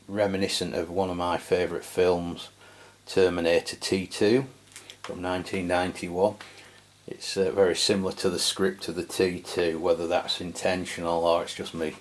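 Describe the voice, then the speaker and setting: A man giving a casual, hands-on product review as a channel host, speaking in a low-key, hesitant, unscripted way. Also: a little mellow. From England.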